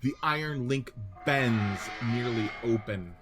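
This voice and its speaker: A man's voice: medium pitch, takes it slowly, a bit bright, faint music behind. A man reading scripted narration and stage directions for a radio play.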